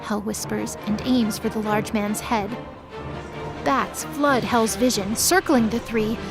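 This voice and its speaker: Higher-voiced woman narrating over prominent music. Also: fairly even intonation, slow. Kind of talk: scripted audio drama narration.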